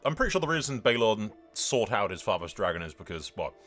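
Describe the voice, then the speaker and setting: A man hosting a game roleplay series, telling the story as he plays in an excitable, dramatic way. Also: fairly low pitch, fast. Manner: excitable, dramatic, mild swearing. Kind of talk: lively game playthrough commentary and storytelling.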